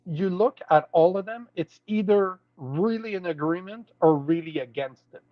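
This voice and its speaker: A man's voice: high voice, normal speed, no room sound, dull audio. A Canadian man with a French accent in a casual political chat, blunt, scornful and full of swearing.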